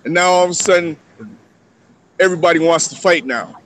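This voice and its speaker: High-voiced exasperated man. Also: fairly quick, a bit bright, slight background noise. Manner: exasperated, mocking, swears. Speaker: caller. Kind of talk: casual debate on a call.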